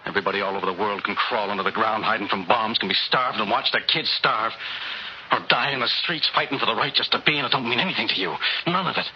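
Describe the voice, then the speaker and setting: A man's voice: lowish voice, fast, natural voice. An actor playing a plain-spoken, morally indignant working man in a 1960s radio drama, speaking in earnest, colloquial phrases. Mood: indignant, accusing. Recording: muffled, hissy old radio recording.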